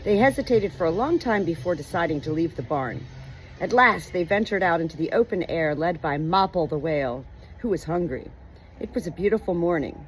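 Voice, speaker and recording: A woman narrates a storybook in a lowish voice that moves freely up and down. Her delivery is measured and expressive, with music playing along. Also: rough recording. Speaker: audiobook reader. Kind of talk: storybook narration, read aloud.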